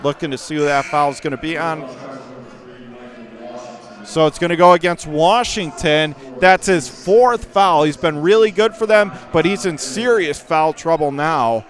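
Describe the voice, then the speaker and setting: A man doing radio-style basketball play-by-play as the announcer, speaking in clipped phrases that lift into excited calls on big plays. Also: a little high, a little slow. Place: high school gym during a game.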